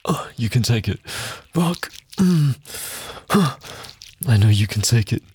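A man with a husky, breathy voice that sits low in his register. He speaks in a dominant, taunting tone, close and intimate, breaking up his lines with heavy breaths and muttered exclamations. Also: animated, a little slow, warm tone, no echo. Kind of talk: scripted adult audio roleplay.

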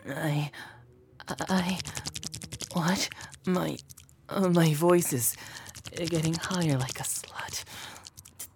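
A woman voicing a flustered, submissive young man in a dress in an intimate audio roleplay, stammering and pleading in a breathy whisper. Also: lowish voice, noisy background, full character voice.

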